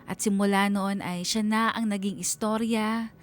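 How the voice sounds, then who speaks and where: A woman's voice: medium pitch, fairly even intonation, unhurried, natural voice. A woman playing a young writer who tells her own life story in scripted radio drama narration, wistful and confiding. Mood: wistful, reflective.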